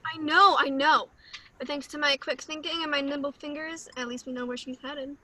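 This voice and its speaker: A woman's voice: sits high, steady tone, moderate pace, bright, forceful tone, no echo. A woman playing a cocky, bumbling male secret agent with a flippant, breezy manner in a comedy script read. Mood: defensive.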